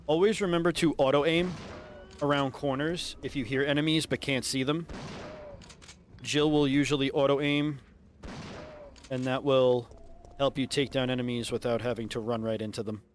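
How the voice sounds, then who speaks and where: A man giving casual, instructive walkthrough commentary on a video game, his voice congested and raspy from a head cold. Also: mid-range voice, steady tone, moderate pace, long pauses, slight background noise. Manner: casual, instructive, mildly profane. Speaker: gameplay commentator.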